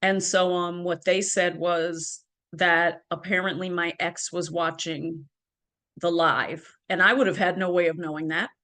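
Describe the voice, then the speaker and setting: A woman's voice: fairly low pitch, little pitch movement, measured pace, sharp tone. A woman guest telling her personal story in an interview, earnest and full of ums and restarts, on a video call from home. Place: home, by a ring light.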